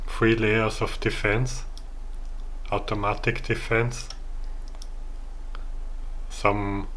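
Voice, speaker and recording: Casting: Austrian-accented man for casual game walkthrough; halting, very slow delivery, monotone, noise behind the voice. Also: on the low side, rough recording. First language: German. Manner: halting, searching for words. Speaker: gamer showing his own game build.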